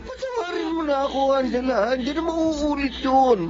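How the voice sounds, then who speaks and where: A man's voice: very high voice, very animated, moderate pace. A man acting a weak-willed drinking boyfriend who pleads and makes promises, in a melodramatic radio drama. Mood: tearful pleading. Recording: dull lo-fi audio.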